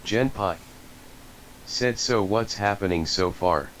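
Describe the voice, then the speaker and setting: A computer-generated male voice reads out chat messages very slowly. Its pitch sits fairly low and its delivery stays flat and mechanical. A little background noise hangs under it. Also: low-quality recording. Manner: flat synthetic reading of viewer messages. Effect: text-to-speech synthesis. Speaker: text-to-speech voice reading chat.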